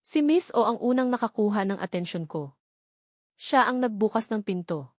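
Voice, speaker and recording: A woman voicing an earnest, devoted young man, a company boss, speaking calmly in a storybook romance. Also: medium pitch, normal speed, no room sound, studio quality, lightly acted. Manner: calm, earnest.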